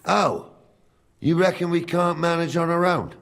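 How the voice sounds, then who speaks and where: An actor playing a gruff, teasing older castle captain with a husky voice and blunt, colloquial talk in a video game. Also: male voice, a little high, a little slow, a bit bright. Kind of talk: video game dialogue acting.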